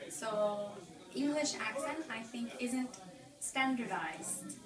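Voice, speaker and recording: A woman in her twenties from Michigan, a university teacher explaining her view in a measured way. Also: on the high side, reverberant, distant mic, over music. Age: 20s. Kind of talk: casual street interview answers.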